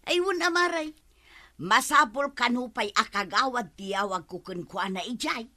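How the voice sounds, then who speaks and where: A woman's voice: a little high, expressive, a little slow, sharp tone, exaggerated voice. An actress playing a disgruntled village woman worker in an Ilocano radio comedy, complaining in an exasperated way with an Ilocano accent. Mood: resentful.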